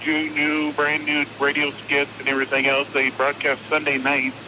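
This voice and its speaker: A man's voice: fairly high pitch, completely flat delivery, normal speed. A man in his twenties chatting casually as a caller to a radio show. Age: 20s. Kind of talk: casual phone-in chat. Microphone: telephone. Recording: noisy phone call on radio.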